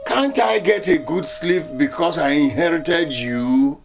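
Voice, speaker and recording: An actor with a Nigerian accent playing a harsh, bullying uncle in a film drama, scolding and repeating commands over music. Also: male voice, a little high, lively intonation, a little slow, creaky. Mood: angry, scolding. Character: harsh, bullying uncle berating his orphaned niece.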